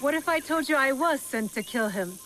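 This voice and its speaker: A woman's voice: sits high, expressive, normal speed, fairly bright tone, a little hiss or hum, rough recording. An actress playing a determined female shinobi assassin in feudal Japan, cool, terse and direct, in a video game. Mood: guarded. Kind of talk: scripted video game cutscene acting.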